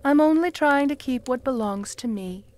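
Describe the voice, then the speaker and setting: A woman voicing a cruel, jealous female vampire who taunts the listener in a slow, purring voice that often drops to a whisper. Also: high-pitched, lively intonation. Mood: jealous, possessive. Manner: taunting, with soft mocking laughs. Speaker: voice actor in audio roleplay. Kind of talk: audio roleplay addressed to the listener.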